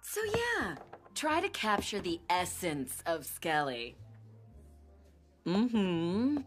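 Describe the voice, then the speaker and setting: A woman voicing a dreamy, dramatic monster art teacher in a cartoon, airy and drawn-out, with music behind her. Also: lowish voice, big pitch swings, exaggerated voice. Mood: encouraging. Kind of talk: animated cartoon acting.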